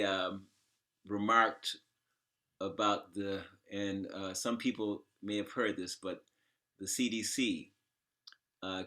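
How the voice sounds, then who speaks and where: Guy hosting a chat, lowish voice, stops and starts, pretty opinionated and emphatic. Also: fairly bright tone. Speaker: host interviewer. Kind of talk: conversational, off-the-cuff commentary.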